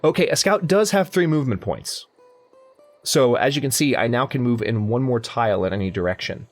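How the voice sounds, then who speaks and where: A man's voice: mid-range voice, expressive, brisk. A young man casually commenting on a strategy game as he plays, thinking aloud and often correcting himself. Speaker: let's play host. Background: faint game music.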